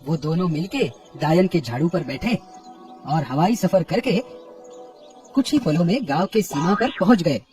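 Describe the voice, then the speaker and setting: A man's voice: a little high, lively intonation, normal speed, a little mellow, soft background music. A man narrating a scripted horror story for children.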